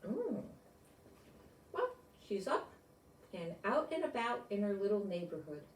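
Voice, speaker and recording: An older woman reads a children's story aloud at a leisurely pace. Her delivery is lively and swooping, pausing to address the young listeners. The room is echoey and she sits a little away from the microphone. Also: medium pitch, a little hiss or hum. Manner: expressive, asks listeners questions and adds asides. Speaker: storyteller reading a picture book aloud. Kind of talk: animated read-aloud to children. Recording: echoey room, distant mic.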